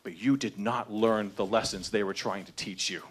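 A man's voice: fairly low pitch, fairly even intonation, moderate pace, breathy edge. A man in his thirties speaking angrily and emotionally into a handheld microphone at a crowded town hall meeting, confronting his congressman. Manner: angry, confrontational, rising in emotion. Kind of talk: impassioned public speech. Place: large crowded meeting room indoors.

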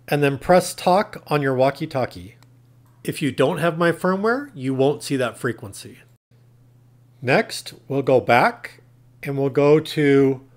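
Male tutorial narrator, pitch a little above average, plain methodical step-by-step instruction. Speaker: tutorial host.

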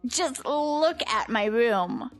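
A woman's voice: fairly high pitch, animated, extremely slow, full character voice. A woman voicing a bossy but caring teenage delinquent girl in a manga comic dub, casual, blunt and teasing. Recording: background music under the voice.

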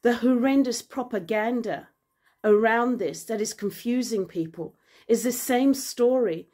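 Earnest Zambian-raised woman speaking to camera. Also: a little high. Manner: earnest, measured, grows forceful and indignant. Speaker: vlogger and author. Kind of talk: impassioned personal address to camera. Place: indoor room with large windows and plants. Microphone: phone camera at arm's length.

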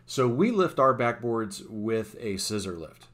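A man's voice: mid-range voice, very animated, unhurried, fairly bright tone. A man, an installer, talking through the steps of a job in a plain, technical voice-over, with frequent uh's and restarts. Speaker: sport court installer. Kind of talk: voice-over explaining a time-lapse install.

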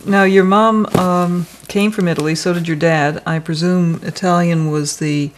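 Woman interviewer, gentle prompting questions. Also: lowish voice, steady tone, normal speed, slight background noise. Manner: gentle prompting with frequent "mm-hmm". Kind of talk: oral history interview.

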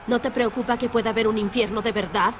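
A woman's voice: fairly high pitch, fairly even intonation, quick pace, noisy background, natural voice. An actress playing a defiant, bitter, grieving young woman captive in a Latin American Spanish audio drama. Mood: shocked, upset. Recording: voice over loud background ambience.

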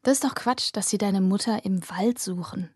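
A woman's voice: mid-range voice, moderate pace, darkish tone, husky, no echo, studio quality, close to an everyday voice. A woman voicing an eager, conspiratorial teenage girl gossiping with a friend in an audio story.

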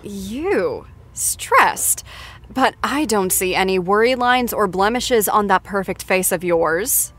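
A woman playing a gushing, excitable TV after-show host who interviews a star in an effusive manner. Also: medium pitch, slow. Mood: gushing, playful. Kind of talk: scripted celebrity interview show.

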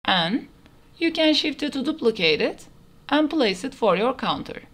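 A woman's voice: high voice, very animated, a little slow. A woman narrating a step-by-step 3D modeling tutorial in plain, instructional phrasing, recorded as a voice-over. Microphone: voice-over over screen recording.

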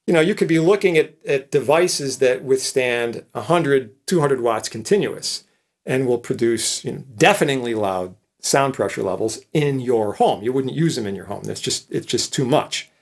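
A man's voice: medium pitch, animated, moderate pace. A man in his sixties, a professor explaining things informally, in a conversational way with frequent checking tag questions. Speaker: professor, lecturer. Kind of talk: informal explanatory electronics lecture.

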